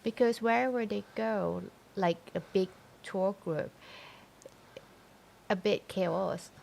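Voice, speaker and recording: A woman's voice: medium pitch, slow, creaky, darkish tone, slight background noise. A Thai woman in her forties co-hosting a casual livestream, speaking simple English with a Thai accent and making blunt, dry remarks. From Thailand. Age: 40s. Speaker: livestream co-host.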